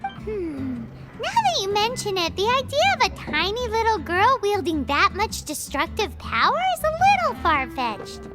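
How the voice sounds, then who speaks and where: A woman voicing a tiny, excitable fairy companion with a childlike voice in a video game. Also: extremely high pitch, unhurried, heavily acted. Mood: doubtful. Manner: exclaiming, refers to self in third person. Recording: game music behind the voice.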